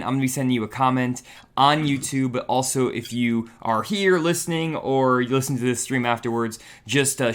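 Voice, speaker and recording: A young man hosting a fishing livestream, chatty and upbeat, talking straight to viewers, his voice a little raspy and tired. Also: mid-range voice, fairly bright tone. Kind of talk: casual livestream talk.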